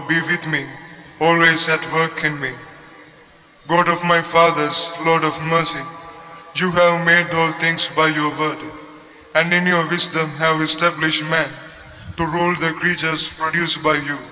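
A man leads prayer responses with hardly any rise or fall in his voice. His tone is bright and somewhat higher than most men's. The long reverb of a large church follows each phrase. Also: a little slow. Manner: flat, even recitation. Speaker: prayer leader. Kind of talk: liturgical responses.

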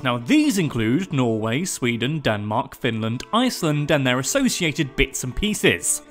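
A man giving wry, jokey scripted narration of a fact video. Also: fairly bright tone. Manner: wry and jokey, with sudden pitch jumps.

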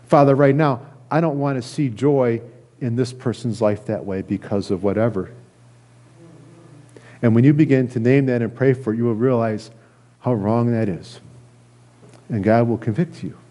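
Mellow middle-aged American man preaching. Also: medium pitch, normal speed, pauses often. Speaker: pastor. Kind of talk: sermon. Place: church sanctuary, indoors at a lectern.

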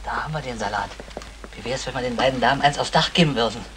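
Casting: man as cheeky petty burglar, medium pitch, wry, noisy vintage radio play. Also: quick pace, close to an everyday voice. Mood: hushed, wry. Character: wisecracking small-time burglar, nervy yet cheeky. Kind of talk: 1960 German crime radio comedy acting.

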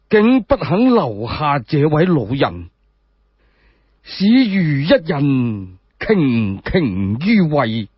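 Man as a very slow, mournful envoy. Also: fairly high pitch, breathy edge, no echo, full character voice. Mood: solemn. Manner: slow, declaimed, grieving. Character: solemn male envoy reciting a mournful royal eulogy. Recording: dull muffled recording.

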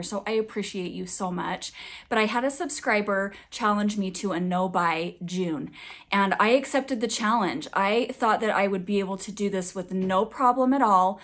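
A woman's voice: medium pitch, a bit bright. Woman with a slightly scratchy voice. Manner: chatty, upbeat and confessional. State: slightly raspy, scratchy voice from recent illness. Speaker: fashion vlogger. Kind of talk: casual budget update vlog.

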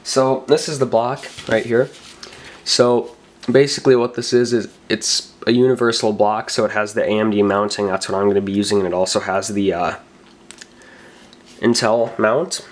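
Young male vlogger, slightly low voice, getting over a cold, loose rambling delivery, camera mic at a bedroom desk. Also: fairly even intonation, moderate pace. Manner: rambling, filler-heavy, self-correcting. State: recovering from a cold. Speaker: tech vlogger. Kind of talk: casual show-and-tell.

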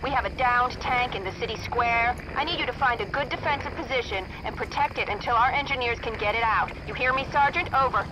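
An actress playing a stern, demanding military commander, giving crisp orders over the radio in a video game. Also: female voice, a little high, a little fast, hardly any pauses, dull audio. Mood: commanding. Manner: crisp, authoritative radio procedure. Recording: noisy radio transmission.

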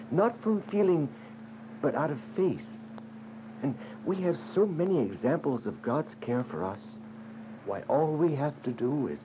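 An actor playing a devout, comforting brother in a radio drama. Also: male voice, a little high. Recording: muffled, noisy radio drama in car.

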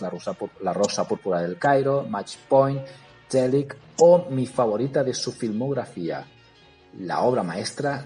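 A man, hosting a podcast and recommending a film in a lively, ironic way. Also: medium pitch. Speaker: podcast host.

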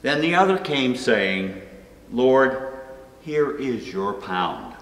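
An older man reading scripture aloud at a church lectern, slow and deliberate, lifting his voice on the quoted lines. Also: medium pitch, very animated, a bit bright. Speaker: scripture reader. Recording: echoey church with long reverb.

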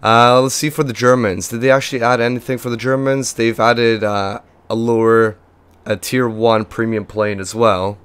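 A young man with a lost voice and little pitch movement, hosting a casual game walkthrough in a chatty, rambling way. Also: steady tone, moderate pace, fairly bright tone, smooth. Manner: rambling, chatty, filler-heavy. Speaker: gaming video host.

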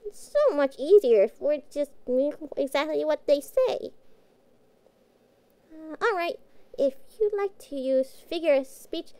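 A woman's voice: sits high, lively intonation, unhurried, dark tone. A woman playing a sweet, naive young catgirl pet in an audio roleplay, childlike, hesitant and full of innocent wonder. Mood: curious. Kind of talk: scripted audio roleplay acting.

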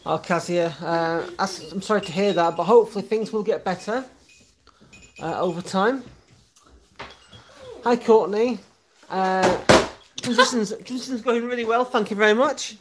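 A woman's voice: medium pitch, moderate pace, pauses often. A woman chatting casually on a family live stream, answering viewer comments from a living room sofa. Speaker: vlogger. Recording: noisy home stream.

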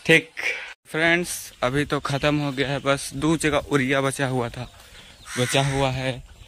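Bright-voiced young vlogger man. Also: medium pitch, little pitch movement, a little slow. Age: young adult. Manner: chatty, addresses viewers while doing chores. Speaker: village vlogger. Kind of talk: casual vlog talk to viewers. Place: outdoors in village fields and lanes. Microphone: phone selfie at arm's length.

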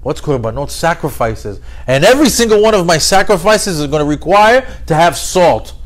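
A man giving a conversational Torah lesson as a religious teacher, telling it like a story with rhetorical questions and repeated phrases for emphasis. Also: fairly high pitch. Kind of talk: animated Torah lesson with acted-out dialogue.

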